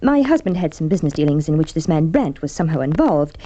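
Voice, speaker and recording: Scheming wife on muffled old radio. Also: female voice, low-pitched, big pitch swings, mellow, slight background noise. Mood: flustered, evasive. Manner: measured, hesitant, evasive. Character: unhappy, scheming wife questioned over her husband's murder. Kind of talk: Golden Age radio drama acting. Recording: dull, lo-fi old radio recording.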